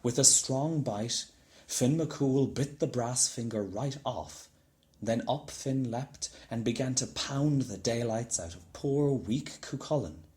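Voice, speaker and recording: A man reading a children's storybook aloud in an expressive narrating style. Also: medium pitch, takes it slowly. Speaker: storybook reader.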